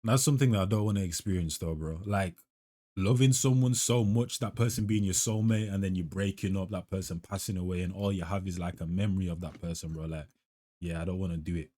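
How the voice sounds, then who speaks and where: A man's voice: deep voice, fairly quick, warm tone. A young man, chatting casually as a music reaction host and musing aloud in heavy slang. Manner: slangy, musing, tags lines with "fam" and "bro".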